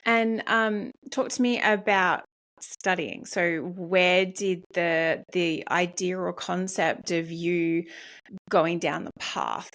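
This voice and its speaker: A woman's voice: fairly low pitch, steady tone, slow pace, a bit bright. A woman from Australia hosting a podcast interview, asking questions in a loose, searching way with restarts and fillers. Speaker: podcast host. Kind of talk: casual conversational interview.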